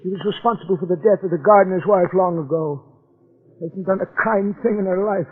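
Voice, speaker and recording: An old radio drama recording, narrow as a phone line, carries a querulous, sickly man. His voice is very high for a man, and he is bitter and quick to take offence. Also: slightly rough. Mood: bitter, suspicious. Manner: querulous, indignant. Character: bitter, sick, hot-tempered brother of dead woman.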